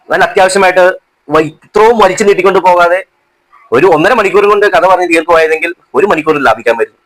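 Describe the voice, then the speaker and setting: A man giving a casual film review to camera, halting at times and dropping in English words. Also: fairly high pitch, fairly bright tone, rough recording. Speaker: movie reviewer.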